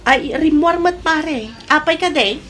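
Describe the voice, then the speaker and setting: A nagging wife from a comedy radio serial. Her voice sits high and she talks in an exasperated, scolding way. There is clear noise in the recording. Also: expressive, a little slow, a bit bright. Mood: concerned. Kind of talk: comedic radio drama acting.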